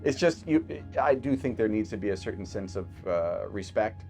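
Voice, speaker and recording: A man's voice: fairly low pitch, expressive, moderate pace, a little mellow, faint music behind. A man, a physician, chatting casually over a video call, telling stories with lots of fillers and laughs. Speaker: physician guest. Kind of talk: casual remote podcast conversation.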